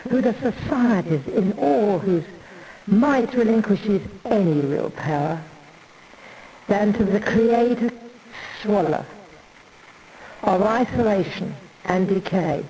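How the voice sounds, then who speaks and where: High-voiced man declaiming a theatrical monologue. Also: warm tone, low-quality recording. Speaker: stage performer.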